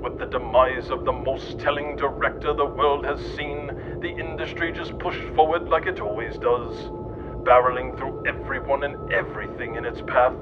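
A man reading scripted satirical narration in a mock-serious, declamatory manner. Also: moderate pace. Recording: dull lo-fi audio, loud rumbling noise.

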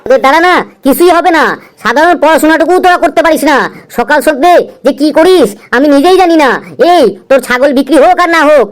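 A woman's voice: sits high, natural voice. A woman voicing an exasperated village tuition teacher in a comedy cartoon, scolding and complaining.

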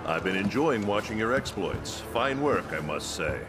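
An actor playing a gruff, jovial veteran admiral in a video game cutscene, hearty and boastful with frequent chuckles. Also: male voice, fairly low pitch, expressive, moderate pace, natural voice. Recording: game cutscene with loud music.

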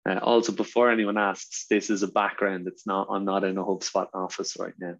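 A man with a strong Irish accent, chatting casually as a webinar presenter, talking fast with lots of fillers. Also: sits low, little pitch movement, vocal fry, lo-fi sound. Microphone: video call.

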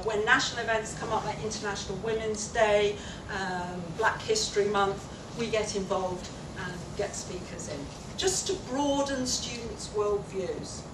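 A woman delivering a conference keynote speech in a large lecture room, explaining at a measured pace with occasional fillers. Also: a little high. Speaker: keynote speaker. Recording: echoey room, distant mic, noisy.

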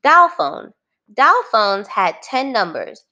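A woman teacher reading a children's book aloud, phrasing it clearly and adding simple explanations for young listeners. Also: high-pitched, very animated, slow, sharp tone, muffled recording.

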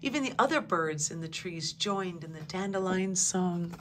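A woman narrating a picture book aloud in a calm, even storytelling manner, dropping to a hush at quiet moments. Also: on the low side, normal speed, a little hiss or hum. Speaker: storybook reader.

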